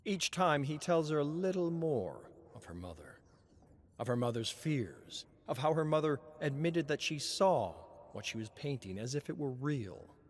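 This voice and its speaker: A man narrating a story in a measured, expressive reading. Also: on the high side. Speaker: lore narrator.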